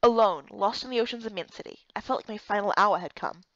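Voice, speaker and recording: A woman giving expressive first-person scripted narration of a fantasy story. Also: medium pitch, fast talker, no echo, muffled recording. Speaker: narrator and voice actor for a visual novel playthrough.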